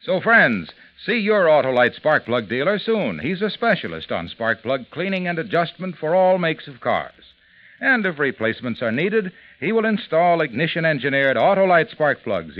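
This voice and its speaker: Animated higher-pitched male radio announcer. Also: moderate pace. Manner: emphatic, polished salesmanship. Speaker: commercial announcer. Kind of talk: 1940s radio sponsor pitch. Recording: muffled vintage radio recording.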